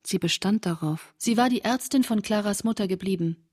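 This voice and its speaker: A reflective woman diarist played by an actress in a literary radio drama. Her voice sits at a middle pitch with a breathy, husky edge, and she tells her entries in a measured, intimate way. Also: a little mellow, natural voice. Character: reflective French woman reading her 1945 diary of friends.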